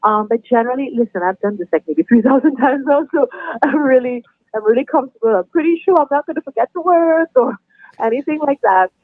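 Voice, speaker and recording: A middle-aged woman with a Trinidadian accent, chatting warmly and laughing easily as a guest on a podcast interview. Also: sits high, expressive, quick pace, no room sound. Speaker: guest, anthem singer. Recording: tinny phone line, dry.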